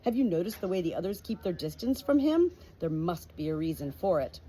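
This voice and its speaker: A woman's voice: on the low side, big pitch swings, brisk, mellow, slight background noise. A woman voicing a haughty, scornful mother with a dramatic, contemptuous way of talking. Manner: contemptuous, dramatic, screeching when triumphant. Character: haughty, scornful, theatrical mother who fancies herself psychic.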